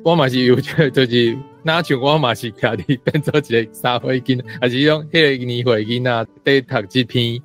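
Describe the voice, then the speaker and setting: A man's voice: medium pitch, fairly even intonation, fairly quick, hardly any pauses, soft background music. A man with a Taiwanese accent giving his reading of a story as a guest in a conversational discussion. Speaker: guest panelist.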